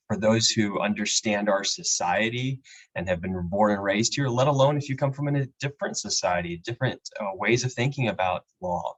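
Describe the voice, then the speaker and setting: A man with a fairly low voice walks through a legal topic for a webinar audience. He is measured and explanatory and pauses often on fillers. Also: poor audio. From Peru. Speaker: immigration attorney, guest speaker. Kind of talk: webinar presentation. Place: home or office with a map on the wall.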